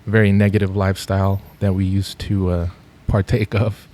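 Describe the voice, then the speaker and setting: A middle-aged man from Los Angeles, a podcast guest telling his story in a relaxed, rambling way with lots of fillers. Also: low-pitched, normal speed, a little hiss or hum. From Los Angeles, USA. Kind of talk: casual podcast interview.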